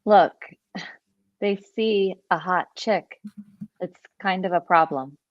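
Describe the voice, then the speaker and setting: Woman acting a slow, deadpan young counselor. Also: fairly low pitch, no room sound, highs cut off. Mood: matter-of-fact. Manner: deadpan, matter-of-fact. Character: cool, matter-of-fact attractive young female camp counselor. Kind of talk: live script table read.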